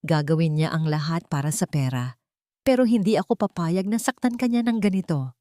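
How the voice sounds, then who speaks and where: A woman's voice: fairly low pitch, brisk, dark tone, no room sound, lightly acted. A woman narrator plays a wealthy lady from a romance audio story, fiercely protective and devoted. She speaks with emphatic, commanding earnestness, in a dry, close sound. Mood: angry, determined.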